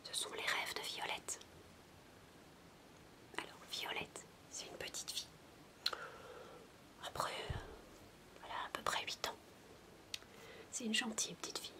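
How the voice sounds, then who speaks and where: A woman's voice: measured pace, long pauses. Whispering woman telling a playful bedtime story. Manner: playful babysitter addressing a child listener; whispery, meandering, chatty asides. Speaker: ASMR roleplay performer. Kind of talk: soft-spoken ASMR storytelling.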